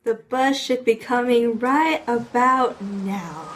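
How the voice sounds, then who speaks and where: Casting: woman as teenage ringleader, high pitch, commanding and mock-solemn, audio drama. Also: slow, background noise. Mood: bossy. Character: bossy, scheming teenage friend announcing master plans. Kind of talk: amateur audio drama acting.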